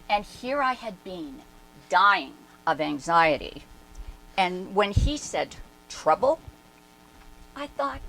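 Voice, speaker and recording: A woman acts out a devoted female follower looking back in awe on her spiritual master. She takes her time, with theatrical emphasis and swelling phrases in a mid-range voice. It is a live dramatic reading with clear noise in the recording. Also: medium pitch, fairly bright tone, heavily acted, low-quality recording. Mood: animated, amazed. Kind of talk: dramatic reading of a first-person reminiscence.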